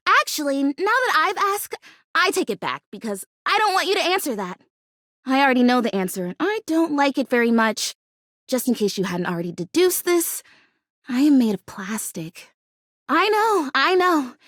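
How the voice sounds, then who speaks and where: A woman's voice: high voice, no echo, studio quality. A woman voicing a perky, chatty young plastic houseplant in a video game, gushing and rambling and easily wounded. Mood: awkward, self-conscious, then wistful, dismayed. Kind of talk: animated video game character acting.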